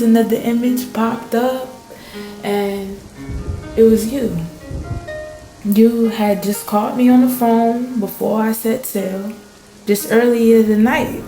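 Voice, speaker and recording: A woman playing a storm-shaken fisher who tells a surreal sea vision in an intense, colloquial way. The voice is soft-edged and mellow, unfolding very slowly in an echoing space, as in a dramatic radio play monologue. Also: medium pitch, lightly acted. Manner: intense, colloquial storytelling with drawn-out words. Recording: roomy, echoing sound.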